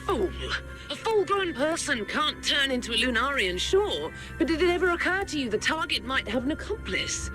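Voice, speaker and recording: Game role: stern lady commander, high voice, scornful, authoritative, music underneath. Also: big pitch swings, normal speed. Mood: angry, commanding. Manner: commanding, scornful, sharp orders. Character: stern, imperious female military commander. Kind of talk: scripted video game acting.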